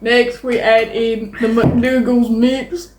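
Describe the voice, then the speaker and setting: A slow, high-pitched, giggly drawl of a cowboy outlaw at the stove, as a young woman plays him. The voice sits back from the mic in an echoey home kitchen, with dishes and cutlery clattering clearly behind. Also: flat delivery, darkish tone, distant mic, a little hiss or hum. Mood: playful. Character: gruff male outlaw cowboy, playful cooking parody. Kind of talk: casual jokey cooking video.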